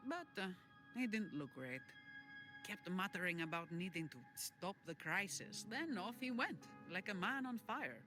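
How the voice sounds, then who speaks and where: An actor voicing a steady fighter ally in a game, plain-spoken and matter-of-fact. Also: medium pitch, a little slow. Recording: game audio with music behind.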